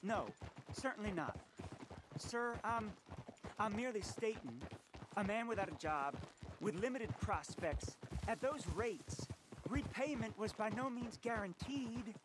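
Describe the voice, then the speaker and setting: A man's voice: high voice, animated, noisy background. A man playing a nervous, pleading frontier debtor with an American accent and a hesitant manner in a video game. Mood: nervous, defensive. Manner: hesitant, placating, halting. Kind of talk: dramatic video game acting.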